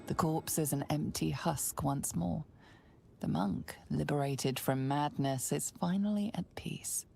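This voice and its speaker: Game narrator: grave, dark-toned, heavy vocal fry, measured storytelling. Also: female voice, low-pitched, a little hiss or hum, full character voice. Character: solemn, hushed storytelling narrator.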